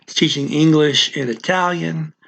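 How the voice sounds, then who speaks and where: A man, a language teacher, giving a casual weekly channel update in a halting way, clearing his throat and using many fillers. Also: fairly high pitch, steady tone, a bit bright, low-quality recording.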